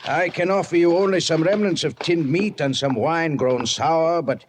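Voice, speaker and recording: An actor playing an earnest settler leader from Europe in an old-time radio drama, with formal, biblical phrasing. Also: male voice, on the high side, normal speed, lightly acted. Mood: solemn hospitality. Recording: noisy old radio recording.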